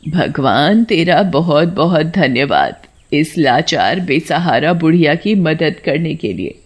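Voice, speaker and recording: A woman voicing a poor, kind-hearted old village woman in a cartoon story, speaking in a slow, plaintive, drawn-out way. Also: low-pitched, animated, husky, exaggerated voice. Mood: grateful. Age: elderly. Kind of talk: Hindi moral cartoon story acting.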